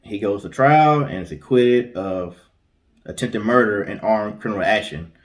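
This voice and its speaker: A man's voice: on the low side, moderate pace. A man with an American accent chatting casually as co-host of a storytelling show. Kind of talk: casual chat.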